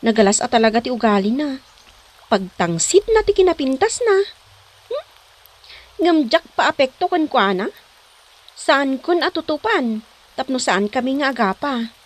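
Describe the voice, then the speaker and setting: A woman's voice: sits high, sing-song, moderate pace, pauses often. A woman playing a persistent, proud young noodle vendor in an Ilocano comedy drama, sing-song and plaintive, with an Ilocano accent. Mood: offended, defiant.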